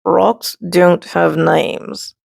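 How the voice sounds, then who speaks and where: Woman doing a gruff, husky, dour wild rock. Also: lowish voice, flat delivery, drawn-out words, darkish tone, dry recording, studio quality, full character voice. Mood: dour, curt. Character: gruff, terse, weary wild outdoor rocks. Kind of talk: children's storybook read-aloud.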